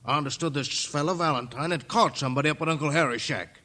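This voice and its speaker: An American man playing an officious, stern small-town coroner in an old radio drama, forceful and official. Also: on the high side, quick pace. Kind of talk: 1940s-50s American radio drama acting.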